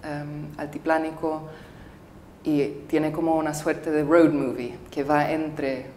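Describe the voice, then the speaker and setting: Low-voiced woman filmmaker in a roomy studio. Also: little pitch movement, darkish tone, a little hiss or hum. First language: English. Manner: thoughtful, halting, with frequent fillers and restarts. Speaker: filmmaker interviewee. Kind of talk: casual interview answers.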